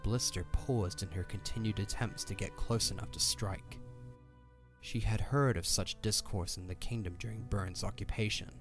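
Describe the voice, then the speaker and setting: Low-voiced man narrating a dramatic story. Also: moderate pace. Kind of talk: scripted dramatic audiobook-style narration. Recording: background music under the voice.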